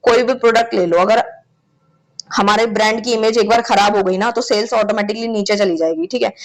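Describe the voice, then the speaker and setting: A woman's voice: medium pitch, fairly even intonation, brisk, rough recording. A woman teaching an exam-prep lecture in a chatty way, often checking in with her students, her voice a little sore. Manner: chatty, repeats "theek hai" often. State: sore throat.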